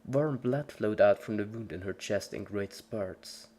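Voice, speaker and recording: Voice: low-pitched, unhurried, a little mellow. A narrator giving a scripted dramatic reading of a story in the third person. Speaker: fan fiction narrator.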